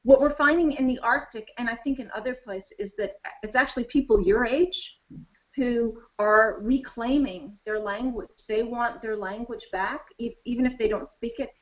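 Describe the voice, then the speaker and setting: A woman's voice: fairly high pitch, moderate pace, highs cut off. A woman linguistics professor giving thoughtful, explanatory answers in an interview over a video call.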